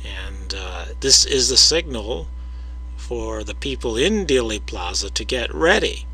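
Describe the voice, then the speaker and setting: A man narrates his own video with a husky edge to his voice. His tone is bright and pushed forward. He explains at a careful, measured pace, hesitates often, and speaks directly to the viewer. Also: on the low side, very animated, low-quality recording. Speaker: video creator explaining audio analysis.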